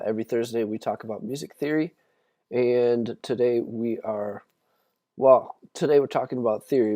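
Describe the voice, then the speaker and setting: Croaky-voiced man teaching music theory. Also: fairly low pitch, dark tone, dry recording. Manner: casual, explaining with frequent "okay?" checks. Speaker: guitar teacher. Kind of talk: livestreamed music theory lesson.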